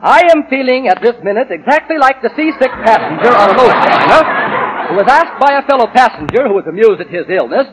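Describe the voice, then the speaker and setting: High-voiced young speechmaker, very animated. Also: male voice, fairly quick, over a noisy crowd. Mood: stiff, oratorical. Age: young adult. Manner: declamatory, formal. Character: earnest, stiffly formal young employee giving a speech. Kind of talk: 1940s radio acting, formal speech. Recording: noisy 1940 radio broadcast.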